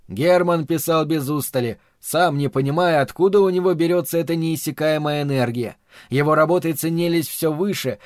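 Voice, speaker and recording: A male audiobook narrator reads a literary novel in a measured, even storytelling voice of middling pitch. The recording is dry and close, as from a treated studio with no room echo.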